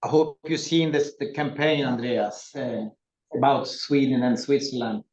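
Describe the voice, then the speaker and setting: A man's voice: medium pitch, fairly even intonation, unhurried, a little mellow. A man hosting a webinar panel, asking questions hesitantly with many fillers. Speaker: webinar moderator. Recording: roomy, dull lo-fi audio.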